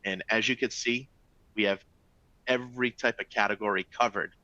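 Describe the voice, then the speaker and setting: A middle-aged man with a Boston accent gives a friendly sales webinar, speaking in short bursts and addressing listeners as folks. His voice is bright and forceful. The audio is dry and a little dull, like a webinar feed. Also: steady tone, moderate pace, no echo. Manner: upbeat, chatty sales pitch with frequent "all right?" and "folks". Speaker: travel company executive presenting a webinar. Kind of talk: training webinar presentation.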